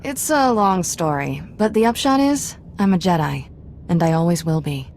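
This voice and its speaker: A woman's voice: on the low side, animated, normal speed, darkish tone, slight background noise. A woman playing a spirited, irreverent young Jedi apprentice in a video game, talking in a wry, informal way. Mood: wry, relieved.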